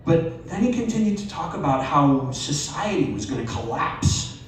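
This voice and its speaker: A man reading a personal essay aloud at a live event, measured and expressive with wry emphasis. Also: on the high side, a little hiss or hum. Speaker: story reader. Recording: very echoey live room, distant mic.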